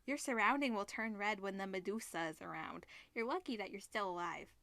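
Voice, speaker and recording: A woman's voice: mid-range voice, fairly quick, creaky, a bit bright, dry recording. A woman reading the part of a blunt, cautious male classmate who scolds in a visual novel. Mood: scolding.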